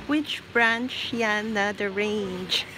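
A woman from the Philippines chatting casually on a live shopping stream, reading out prices and giving shout-outs as she walks around a store. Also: mid-range voice, very slow. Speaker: livestream shopping host. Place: inside a large retail store. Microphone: handheld phone while walking the aisles. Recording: noisy shop floor phone livestream.

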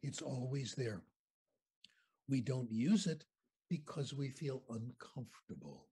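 An older American man, a guest on a video call interview, telling anecdotes in an animated, conversational way from a home room. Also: mid-range voice, takes it slowly, a little hiss or hum. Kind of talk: storytelling lecture on a video call. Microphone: video call webcam.

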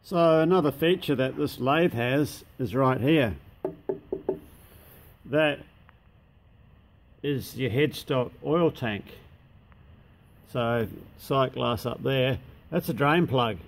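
A man's voice: medium pitch, slow pace, pauses often. An Australian man who runs a machine shop, giving a casual, matter-of-fact walkthrough in a large indoor workshop shed. He speaks plainly, uses local slang, and is recorded on a handheld camera mic.

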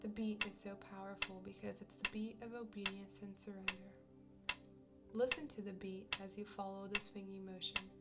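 A woman in an ASMR sleep hypnosis roleplay as a piano teacher, speaking slowly and softly with gentle command, repeating phrases and slipping into whispers. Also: medium pitch. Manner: slow, soft, repetitive and gently commanding; drops into whispers at times. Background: ticking metronome and music.

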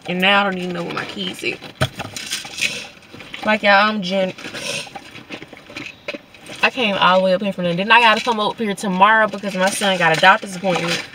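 A woman's voice: medium pitch, steady tone, brisk, pauses often, background noise. Young Southern US woman chatting casually. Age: young adult. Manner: informal, conversational, addressing viewers as y'all. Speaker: vlogger. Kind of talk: casual vlog chat to viewers.

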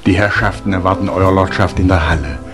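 Man acting a deep, deferential butler. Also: music in the background, close to an everyday voice. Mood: deferential, formal. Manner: stiff, measured, courteous. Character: formal, deferential English manservant. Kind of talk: 1950s German radio play acting.

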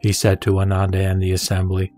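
A man reading a dramatised Buddhist scripture as narrator, in a measured, solemn and formal delivery. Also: deep voice, completely flat delivery, dark tone. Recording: background music under the voice. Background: music.

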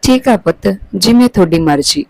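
A woman's voice: on the low side, lively intonation, a little fast, darkish tone, no echo, poor audio. A woman voicing an older mother-in-law in a story, affectionate in manner but subtly needling. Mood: gently needling. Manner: sweetly taunting, blessing.

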